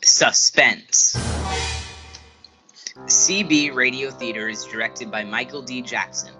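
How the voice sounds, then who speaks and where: A man's voice: mid-range voice, slow, sharp tone. A young man announcing scripted credits for a radio drama. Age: young adult. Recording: dull sound over music.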